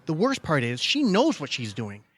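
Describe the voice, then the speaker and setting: An actor playing an obsessive, aggrieved younger brother in a comedic audio play, exasperated and insistent. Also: male voice, fairly high pitch, wildly swooping pitch, normal speed, fairly bright tone, breathy edge, exaggerated voice. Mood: insistent, agitated. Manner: exasperated, insistent, leading questions like a cross-examination. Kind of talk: comedic audio theater dialogue.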